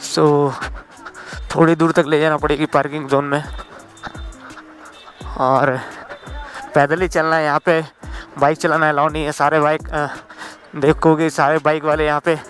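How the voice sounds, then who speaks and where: A man's voice: fairly high pitch, level tone, unhurried, long pauses, rough recording. A young man casually guiding viewers through a busy night market, chatting and pointing out directions as he goes. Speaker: travel vlogger. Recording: background music over loud crowd. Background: crowd noise with music.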